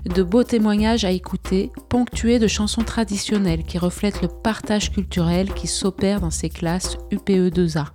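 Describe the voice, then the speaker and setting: A woman presenting a radio feature, reading a scripted narration in a measured, clear way. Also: medium pitch, steady tone, mellow, soft background music.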